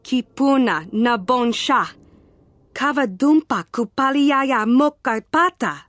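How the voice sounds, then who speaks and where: A woman's voice: high voice, animated, takes it slowly, fairly bright tone, no echo, exaggerated voice. A woman voicing a defiant female alien warrior in a video game, speaking clipped syllables of an invented tongue. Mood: agitated.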